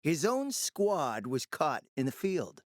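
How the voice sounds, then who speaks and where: Sly smooth-talking spymaster for a game, theatrical and urbane, pitch moves around a lot, dry recording. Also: male voice, very animated, takes it slowly, no room sound. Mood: grave, conspiratorial. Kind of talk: video game voice acting.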